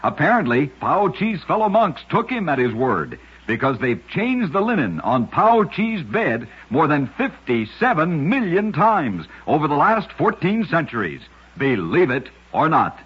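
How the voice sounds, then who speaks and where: Husky-voiced male radio storyteller. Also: on the high side, sing-song, a little slow. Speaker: radio host narrator. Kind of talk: scripted radio narration of curious facts. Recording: muffled, lo-fi radio recording.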